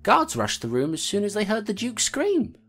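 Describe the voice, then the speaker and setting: A woman's voice: medium pitch, huge pitch swings, moderate pace, a bit bright, breathy edge, soft background music, full character voice. A woman voicing a constable who recounts a murder investigation in a matter-of-fact way. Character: rattled female constable narrating her case. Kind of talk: audio drama acting.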